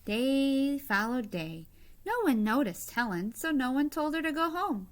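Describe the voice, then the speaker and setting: A woman reading a children's picture book aloud like a teacher, with expressive, deliberate phrasing and short asides to young listeners. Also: sits high. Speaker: read-aloud storyteller, teacher-like.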